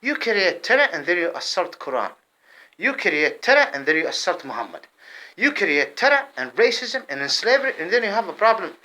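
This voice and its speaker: Heated, lively-voiced man ranting. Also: brisk, rough recording. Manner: heated, repetitive, swings between loud outbursts and low muttering. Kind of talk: impassioned monologue to camera.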